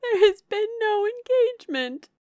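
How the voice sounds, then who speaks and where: A woman's voice: very high voice, big pitch swings, a little slow, a little mellow, no room sound, pitched above the performer's own voice, heavily acted. A woman narrator voicing an anguished, heartbroken young woman from a classic novel, passionate and exclaiming wildly. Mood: distraught protest. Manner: wild, exclaiming, lamenting.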